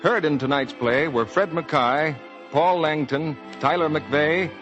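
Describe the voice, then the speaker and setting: A man's voice: on the high side, measured pace, music in the background. An American man announcing on a 1940s radio show, reading scripted copy in a formal, emphatic sales delivery. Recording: dull vintage 1940s radio broadcast.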